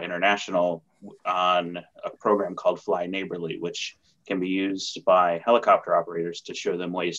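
A man with a low voice answers formally as an expert panelist. The audio is a little dull, as from a computer microphone on a video call. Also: fairly bright tone. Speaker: government agency noise expert on a panel.

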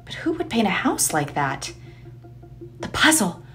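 A woman's voice: medium pitch, wildly swooping pitch, unhurried, a bit bright. A woman reading dramatic scripted narration for an eerie audio play. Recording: music under the voice.

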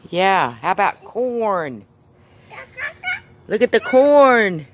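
A woman's voice: mid-range voice, big pitch swings, drawn-out words, slight background noise. A woman in a backyard garden filming on her phone and patiently coaxing a small child with simple questions. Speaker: mother giving a garden tour. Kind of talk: casual home video chat with a toddler. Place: backyard vegetable garden outdoors. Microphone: phone camera held while filming.

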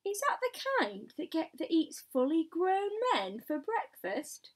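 A woman playing a panicky male head of a fire department in a storybook, yelling hysterically. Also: very high voice, sing-song, a little slow, pitched above the performer's own voice, heavily acted. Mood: terrified. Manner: shrieking, hysterical, gullible.

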